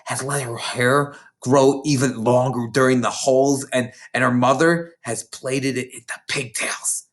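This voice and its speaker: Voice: medium pitch, fairly even intonation, measured pace, few pauses, close to an everyday voice. A reader as a knowing, gossipy schoolgirl.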